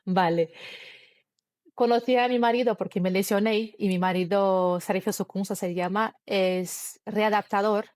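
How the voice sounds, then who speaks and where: A woman's voice: medium pitch, steady tone, a little mellow, no room sound, studio quality. A woman from Brazil, speaking her as an interview guest and telling a personal story earnestly, with frequent restarts. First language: Portuguese. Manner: earnest, halting, many restarts and fillers.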